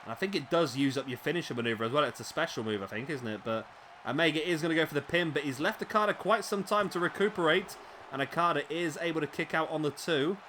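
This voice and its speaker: A man's voice: fairly high pitch, fast, crowd noise, noisy background. Man calling wrestling play-by-play. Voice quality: slightly raspy. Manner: excitable at big moves, chatty asides. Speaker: let's play commentator. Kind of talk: play-by-play game commentary.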